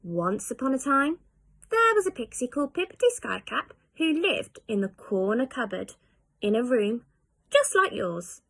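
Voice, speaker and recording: A female teacher reading a children's story aloud with expressive storybook narration. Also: fairly high pitch, normal speed, gravelly, no room sound.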